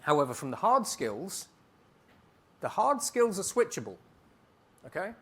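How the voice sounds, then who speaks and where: A man speaking as a keynote speaker, answering on stage in a conference hall in a brisk, emphatic way and rattling off lists. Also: high voice, very animated, fairly bright tone, breathy edge.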